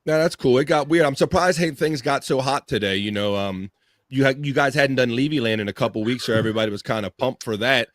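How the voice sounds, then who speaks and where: Chill guy guesting on a podcast, medium pitch, dry sound, just agreeing and chatting. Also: quick pace, dry recording. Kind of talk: casual podcast chat.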